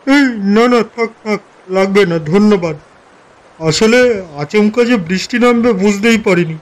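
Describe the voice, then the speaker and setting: A man playing a polite fellow passenger in a cartoon ghost story, speaking in a measured, conversational way. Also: high-pitched, rough recording. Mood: polite, mild. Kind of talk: cartoon horror story dialogue.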